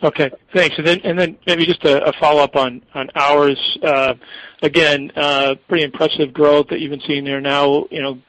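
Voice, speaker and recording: A man's voice: fairly high pitch, level tone, moderate pace, fairly bright tone, dry recording, low-quality recording. A man, a financial analyst, asking winding, hesitant questions on an earnings call.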